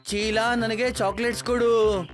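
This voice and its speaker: A woman voicing a mischievous, insistent little boy, the younger brother in an animated moral story. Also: fairly high pitch, unhurried, soft background music, natural voice. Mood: eager, stubborn. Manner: insistent, childlike.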